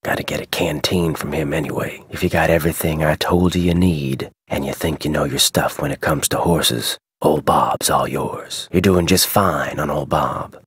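An actor playing a gruff, guarded head wrangler in a video game, with a terse, folksy American Western drawl. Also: male voice, a bass voice, fairly even intonation, normal speed, few pauses, fairly bright tone, dry recording, studio quality, exaggerated voice. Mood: gruff, instructing. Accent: American Western cowboy drawl. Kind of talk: scripted game dialogue.

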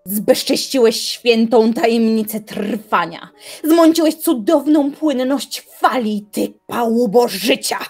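An actress playing a proud young woman philosopher in an audio drama, trading sharp, sarcastic retorts. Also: fairly high pitch, lively intonation, takes it slowly, soft background music, full character voice. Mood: scornful. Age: young adult. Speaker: voice actor.

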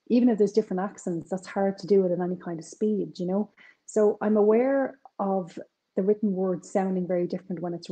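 A woman's voice: medium pitch, rough recording. A woman in her forties with a North Side Dublin accent, a crime author chatting over a video call from her kitchen. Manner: chatty, animated, quick asides. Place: home kitchen with shelves.

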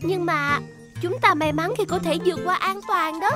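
A woman's voice: very high voice, normal speed, loud music behind the voice. A woman voicing a cute, earnest young rescue vehicle in a children's cartoon dub. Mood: friendly.

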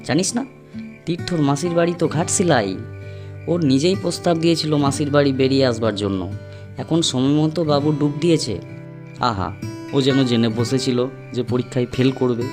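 A man acts out a young holidaymaker in an audio drama, chatty and teasing. His mid-pitched voice exclaims in everyday slang, with music faintly underneath. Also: normal speed, lightly acted. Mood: complaining.

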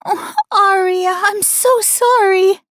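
A woman narrator voicing a meek, tearful young woman who pleads and apologizes in a high, whimpering voice. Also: steady tone, takes it slowly, no echo, studio quality. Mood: tearful pleading.

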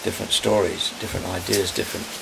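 Older man, gravel in the voice, lowish, rambling film veteran interview on a rural porch, heavy outdoor noise. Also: little pitch movement. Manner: rambling, halting, searching for names. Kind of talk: casual reminiscing interview. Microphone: camera mic, medium distance. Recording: loud outdoor background noise.

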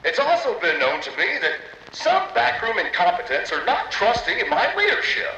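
Grandiose, high-pitched studio boss. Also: male voice, wildly swooping pitch, normal speed, vocal fry, bright, forceful tone, highs cut off, a little hiss or hum, exaggerated voice. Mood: pompous. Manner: theatrical, pompous, salesman-like. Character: grandiose, manipulative cartoon studio boss, scheming dreamer. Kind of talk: dramatic recorded memo, game voice acting. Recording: reverberant, echoey recorded-memo sound.

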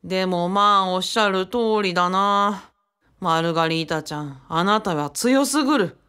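A woman's voice: mid-range voice, normal speed, pushed and bright, clear, resonant tone, lightly acted. A woman playing a friendly, easily flustered young man in a radio drama, muttering and exclaiming with a Kyushu Japanese accent. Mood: grudging. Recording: music behind the voice. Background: music.

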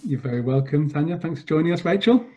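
A man's voice: fairly high pitch, animated, quick pace, warm tone, highs cut off. Man chairing a video call. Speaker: session host. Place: home study with bookshelves.

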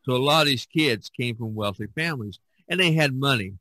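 A man hosting a casual podcast chat, his voice bright and forceful as he tells things with animated emphasis and frequent restarts. The sound is dry and close, with no room around it. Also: medium pitch, brisk, dry recording. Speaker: podcast host. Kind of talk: casual discussion.

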